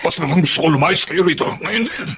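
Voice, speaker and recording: An actor playing a furious, bitter landlord in a Filipino radio drama, blustering and emphatic. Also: male voice, fairly high pitch, animated, fast talker, a crowd in the background, lightly acted. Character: furious landlord man, bitter, shouting at tenants.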